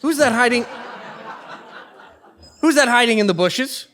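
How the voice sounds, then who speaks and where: A man's voice: very high voice, expressive, unhurried, fairly bright tone, heavily acted. A man acting a pompous, sly king in a comic stage play, with a haughty theatrical delivery. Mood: puzzled, indignant.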